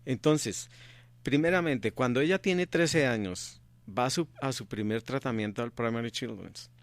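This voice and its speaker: A man's voice: medium pitch, moderate pace, dry recording. A middle-aged man from Colombia, answering interview questions earnestly and repeating his key points for emphasis. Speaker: interview guest, activist father.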